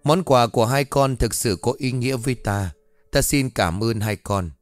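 A man's voice: medium pitch, normal speed, no echo, soft background music, close to an everyday voice. A man voicing an arrogant, greedy rich old man who talks down with scorn. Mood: pleased. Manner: scornful, shouting.

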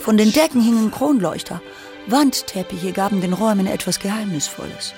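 A narrator of a literary radio play, telling the story in a measured, dramatic way with deliberate pauses. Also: mid-range voice, lively intonation, a little mellow, soft background music.

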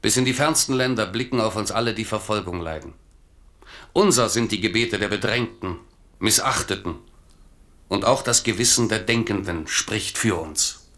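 An actor playing an idealistic soldier-poet who speaks in a fervent, declamatory way in a radio drama. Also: male voice, lowish voice, normal speed, fairly bright tone.

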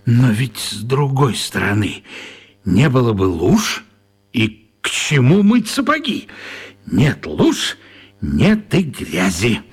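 An actor playing an absent-minded, dreamy, childlike writer who mutters musings to himself in a children's radio play. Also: male voice, mid-range voice, huge pitch swings, slow, husky, full character voice.

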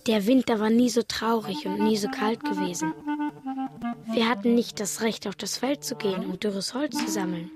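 A woman's voice: fairly high pitch, normal speed, close to an everyday voice. A woman playing a sorrowful young villager remembering hardship in a radio drama. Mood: sad, plaintive. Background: loud music.

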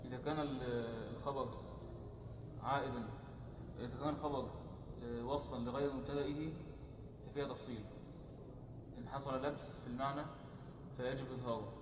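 A man answers his teacher by reciting grammar rules at a quick clip. He sits well away from the mic. The hall is roomy with long reverb and steady background noise. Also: medium pitch. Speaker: student. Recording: distant voice in a noisy, echoey hall.

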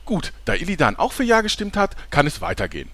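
A man's voice: a little high, wildly swooping pitch, quick pace, fairly bright tone, dry recording, heavily acted. A man playing a fussy, chummy chronicler in a German fantasy parody audio drama. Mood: officious. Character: fussy, chummy male chronicler taking notes.

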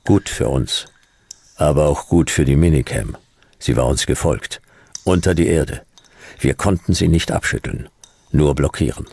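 An actor playing a hard-boiled, laconic private detective, narrating a noir radio drama in terse, clipped phrases with a gravelly voice. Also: male voice, very deep voice, measured pace, a little mellow, full character voice. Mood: dry, laconic. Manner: terse, clipped first-person storytelling.

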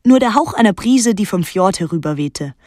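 An actress playing a solitary, watchful island woman, narrating a literary radio drama in a measured, restrained and introspective way. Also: mid-range voice, expressive, darkish tone, no room sound, clean studio sound, close to an everyday voice. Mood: reflective, wistful.

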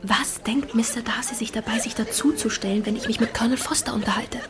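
An actress in a German radio drama playing a witty, spirited young gentlewoman, playful, teasing and self-possessed. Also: on the high side, steady tone, quick pace, natural voice. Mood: hushed indignation. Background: music.

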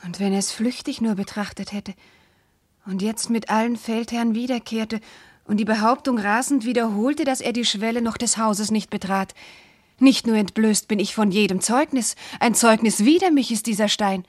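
An actress playing a devoted, anguished noble wife in a classical radio drama, declaiming formal verse with fervour. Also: female voice, on the high side, normal speed, a little mellow, close to an everyday voice. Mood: distraught. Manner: declamatory, fervent, formal diction. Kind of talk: classical German stage verse in a radio play.

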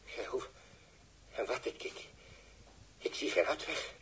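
A man's voice: medium pitch, lively intonation, unhurried, a bit bright. A man playing a distraught, pleading father at ground control in a 1960s Dutch radio drama, stammering and overwrought, with a Netherlands accent. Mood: broken, despairing.

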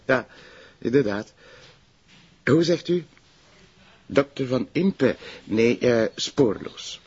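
An actor playing a calm, courteous police detective with a Flemish accent, speaking in a measured way in a television crime drama. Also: male voice, medium pitch, husky, highs cut off, full character voice. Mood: deferential.

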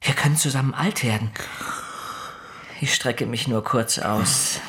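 A man's voice: medium pitch, a little slow, noisy background, close to an everyday voice. A man playing a lovestruck care-home director in a radio play, insistent, intimate and floridly poetic. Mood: infatuated, intimate. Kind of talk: German radio play acting.

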